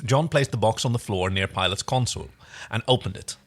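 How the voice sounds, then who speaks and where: A man's voice: on the low side, fairly quick. A man co-hosting a fan fiction reading, moving between story narration and casual chat. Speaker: podcast co-host reading fan fiction aloud.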